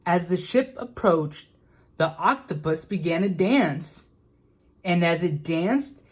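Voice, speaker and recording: Slow-speaking woman reading a children's storybook. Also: fairly low pitch, animated, darkish tone, husky. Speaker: children's book reader. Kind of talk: storybook read-aloud narration.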